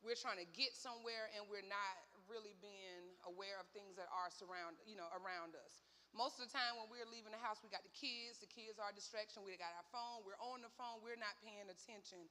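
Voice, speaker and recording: A woman talks through personal safety as a sheriff's deputy, easy and casual, with fillers. Her voice is bright and forceful. A long hall reverb trails behind it. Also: medium pitch, fairly quick. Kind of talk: safety training talk. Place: church hall.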